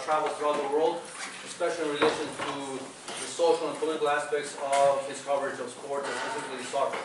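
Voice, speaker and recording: Flat-voiced man introducing a speaker. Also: a little high. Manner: hesitant, many fillers. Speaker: event host, professor. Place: university classroom. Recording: distant mic, echoey room, background noise.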